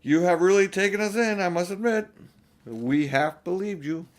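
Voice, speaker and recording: A man's voice: sits high, moderate pace, voice pushed up, close to an everyday voice. A man voicing a sensible older boy in a storybook, a kindly but firm brother who reasons and mildly chides. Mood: amused.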